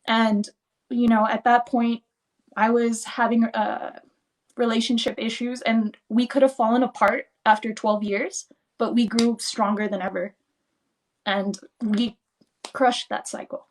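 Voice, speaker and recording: A woman whose first language is Spanish, a guest on a livestream chat, explaining things in a fast, rambling way full of "like"s. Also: on the high side, flat delivery.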